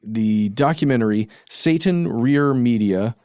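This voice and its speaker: A man co-hosting a podcast, talking in a relaxed, chatty way with frequent fillers and restarts. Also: mid-range voice, a little slow, no room sound, clean studio sound. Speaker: podcast co-host. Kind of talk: casual conversational discussion.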